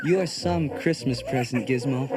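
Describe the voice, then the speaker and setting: A man playing an earnest, kind young man with a boyish, expressive delivery in a children's read-along audio drama. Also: medium pitch, unhurried, lightly acted. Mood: warm. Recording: cassette transfer with music behind. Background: music.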